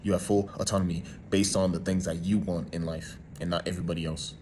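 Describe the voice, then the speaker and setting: A man speaks in a low, steady voice with little rise and fall. His motivational talk sounds calm and assured. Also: fast talker, slight background noise.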